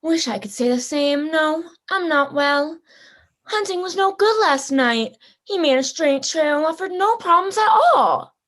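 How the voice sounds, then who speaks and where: Radio drama villain played by a woman: genteel female general who hunts people, very high voice, grandly polite and toying. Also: expressive, unhurried, a bit bright. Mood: breezy politeness. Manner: pompous, theatrically polite, toying. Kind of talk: radio play acting.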